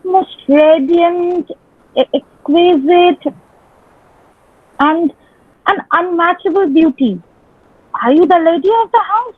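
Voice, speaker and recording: Woman as a very high-pitched, ardent young envoy. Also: dry recording. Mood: courtly. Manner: declamatory, drawn-out, ardent. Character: ardent young gentleman envoy courting a lady. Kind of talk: dramatic reading of Shakespearean comedy. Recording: thin phone-like band, dry sound.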